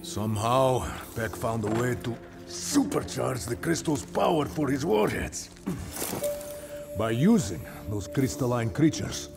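Terse operative over loud score. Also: male voice, mid-range voice, lively intonation, measured pace, gravelly, over music. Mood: terse, wry. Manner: terse, formal, commanding. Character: hardened, wry male covert operative. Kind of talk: video game cutscene acting.

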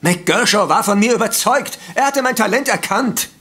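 Gravelly, blustering villainous doctor. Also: male voice, high-pitched, lively intonation, moderate pace, pushed and bright, exaggerated voice. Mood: indignant. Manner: blustering, defensive. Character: cornered, indignant male doctor, scheming and arrogant. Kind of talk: German audio drama acting.

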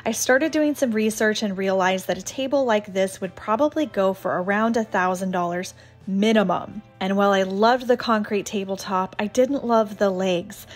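Enthusiastic woman vlogger in her thirties, casual chatty narration, soft music bed. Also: medium pitch, moderate pace. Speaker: home renovation vlogger.

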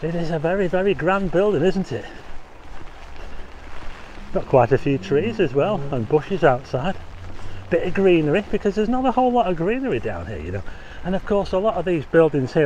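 A man's voice: on the high side, big pitch swings, moderate pace, a little mellow, muffled recording, a little hiss or hum. A man casually narrating a walk outdoors on a rainy street, chatty, asking viewers questions and making wry asides. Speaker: walking tour vlogger. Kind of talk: casual walking commentary.

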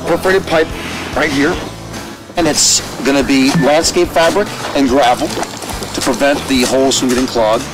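A man's voice: medium pitch, steady tone, moderate pace, music in the background, rough recording. A man showing off his backyard water systems, explaining casually and playfully outdoors. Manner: enthusiastic, playful. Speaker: homeowner.

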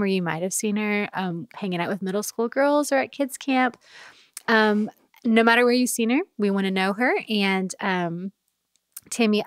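Woman host, bubbly, excitable, chatty interviewer, mid-pitched, dry booth sound. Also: dry recording. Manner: bubbly, enthusiastic. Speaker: podcast host. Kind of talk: warm interview chat.

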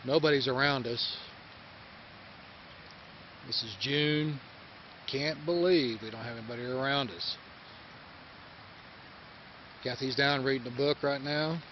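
A man's voice: mid-range voice, unhurried, long pauses. A man casually narrating a home video of his forest campsite, explaining things step by step for viewers. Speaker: camper filming a vlog. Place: outdoor forest campground by a river. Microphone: handheld camera mic. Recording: dull home-video audio with faint outdoor hiss.

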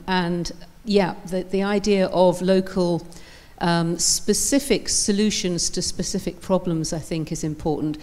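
A woman's voice: lowish voice, a little mellow. A woman in her fifties on a conference panel, speaking thoughtfully into a handheld mic. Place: conference stage in a large hall.

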